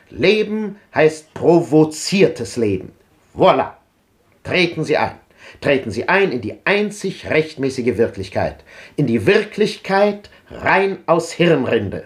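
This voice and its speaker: Casting: a fiery old thinker in a 1950s radio play, declamatory, with a pitch that swings widely. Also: male voice. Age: older. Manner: declamatory, impassioned, rhetorical. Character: old man, fervent visionary philosopher. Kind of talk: 1950s radio drama acting.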